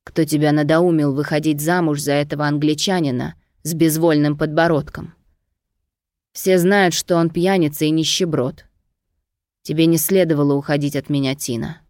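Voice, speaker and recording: A man's voice: on the high side, fairly even intonation, normal speed, a little mellow, no room sound, clean studio sound, lightly acted. A woman narrator voicing a domineering, possessive and impatient wealthy Greek lover in an audiobook. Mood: angry, scornful.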